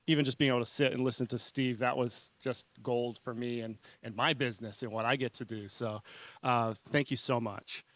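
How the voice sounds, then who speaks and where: Smooth-voiced man giving an informal talk. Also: medium pitch, a bit bright. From New Zealand-born, raised in Hawaii. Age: 40s. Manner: earnest, conversational, halting with frequent self-corrections. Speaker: conference speaker, marketing consultant.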